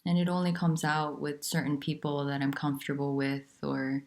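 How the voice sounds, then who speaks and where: A woman teaching about nonduality, talking in a soft, unhurried and candid way with pauses. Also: sits low, level tone. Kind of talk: reflective spiritual talk.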